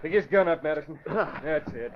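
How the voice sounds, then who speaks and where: A man's voice: a little high, moderate pace, crowd noise, close to an everyday voice. An actor playing a tough gunman, threatening and slangy, in 1940s radio style. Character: brutal, tough-talking gunman henchman. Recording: muffled old radio recording with faint noise.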